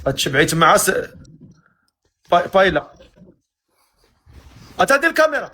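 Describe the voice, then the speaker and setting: A man with a Moroccan accent hosting a matchmaking live stream, teasing guests with quick-fire questions. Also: sits high, very animated, pushed and bright.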